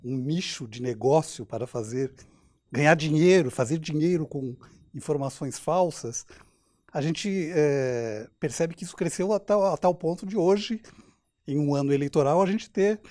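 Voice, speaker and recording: Slightly high-voiced Brazilian man, explaining. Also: moderate pace, darkish tone. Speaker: debate panel guest. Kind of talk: explanatory panel discussion.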